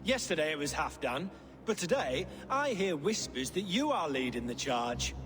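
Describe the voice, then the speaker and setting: Brief: a wry, evasive puppet king, slightly high voice, long reverb. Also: very animated, moderate pace, music in the background. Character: sly scheming middle-aged Saxon puppet king. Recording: game audio with long reverb.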